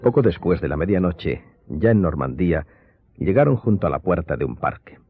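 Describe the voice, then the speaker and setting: A man narrating a radio novel in a measured, literary, dramatic storytelling style. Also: deep voice, big pitch swings, slightly rough, dry recording. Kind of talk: dramatic scripted audiobook narration. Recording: muffled audio under prominent music.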